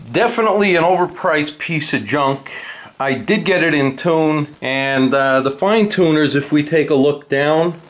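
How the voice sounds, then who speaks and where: Guy doing a casual how-to review, talks real slow, blunt, explains it step by step. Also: mid-range voice. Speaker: host of a guitar gear tutorial and review. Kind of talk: casual hands-on tutorial talk.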